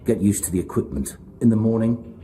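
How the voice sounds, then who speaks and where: A man playing a cold, calculating overseer in a video game, instructing in a measured, quietly threatening way. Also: fairly low pitch, expressive, lightly acted. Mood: brisk, instructing. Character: cold, calculating male overseer, menacing and commanding. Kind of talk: video game character acting.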